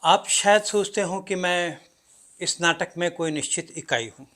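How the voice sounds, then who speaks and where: A man acting an enigmatic stage everyman, higher-pitched. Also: a bit bright. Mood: musing, uncertain. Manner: deliberate, dramatic pauses and emphatic swings. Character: enigmatic, self-doubting everyman narrator of a stage play. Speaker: actor performing a play monologue. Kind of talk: theatrical stage monologue, addressing the audience directly.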